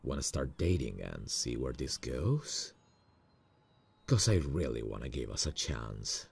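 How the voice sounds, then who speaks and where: A man playing a nervous, lovestruck boss in an intimate romantic ASMR roleplay, speaking hesitantly and tenderly in a husky voice. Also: big pitch swings, heavily acted. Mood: tender, hopeful. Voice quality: very deep, gravelly, husky. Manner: hesitant, slow, soft-hearted. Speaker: voice actor in romantic audio roleplay.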